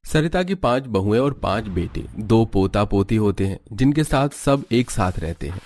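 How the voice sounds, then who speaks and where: A man's voice: fairly low pitch, expressive, moderate pace, darkish tone. A man delivering scripted narration as the storyteller of a cartoon tale.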